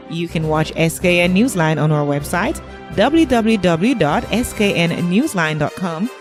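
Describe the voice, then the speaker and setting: A woman reading scripted promotional narration as an announcer. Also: fairly low pitch. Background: faint music.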